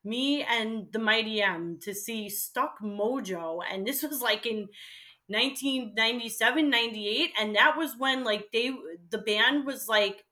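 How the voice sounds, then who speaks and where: A woman's voice: fairly high pitch, a little slow. A middle-aged woman reminiscing casually and emphatically as an interview guest, peppering her sentences with "like". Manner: animated, emphatic, peppers speech with "like". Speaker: interview guest, former college radio host.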